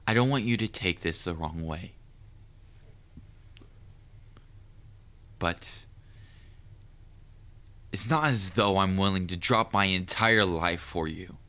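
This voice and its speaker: Guy voicing a deep forceful assassin who's smitten, proud and kinda menacing, talks all formal and deliberate, audio rp. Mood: hesitant, defensive. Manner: formal wording, deliberate, measured. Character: lovestruck male assassin, cunning, proud, quietly menacing yet smitten. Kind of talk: scripted audio roleplay monologue addressed to the listener.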